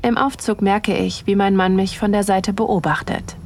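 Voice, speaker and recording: A woman playing an excited, aroused wife who narrates an erotic audio drama in an intimate, breathy manner. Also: mid-range voice, moderate pace, slight background noise, close to an everyday voice. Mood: playful, aroused.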